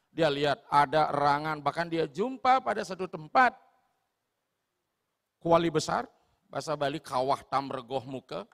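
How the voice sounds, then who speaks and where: A male preacher with a Sulawesi accent tells a parable in an animated, storytelling sermon. His voice is fairly high and gravelly. A long reverb carries it through a large hall.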